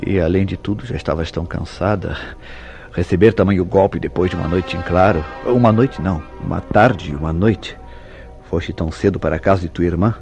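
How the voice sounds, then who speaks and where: A man's voice: low voice, brisk, a little mellow. An actor playing a suspicious, jealous man in a Brazilian radio soap opera, speaking in formal, insistent, theatrical tones. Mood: probing. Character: suspicious, jealous man relentlessly interrogating a woman. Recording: radio drama with background music.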